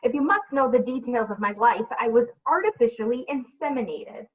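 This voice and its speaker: A woman's voice: fairly high pitch, expressive, brisk, natural voice. A woman playing an indignant, dramatic pregnant single woman in a comedic radio play. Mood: defensive. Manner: dramatic, demanding. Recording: dull, distant livestream microphone.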